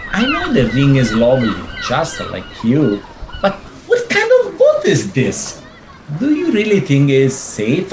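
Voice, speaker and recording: A man's voice: high voice, background noise, exaggerated voice. A man playing a plaintive, doting Italian husband in a radio play, theatrical and reluctant.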